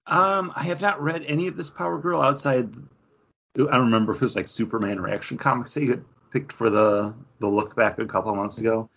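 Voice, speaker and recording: A man co-hosting a podcast, chatting casually and enthusiastically with a slightly husky voice. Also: medium pitch, fairly quick, a bit bright, lo-fi sound. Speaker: comics podcast co-host. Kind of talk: casual panel chat.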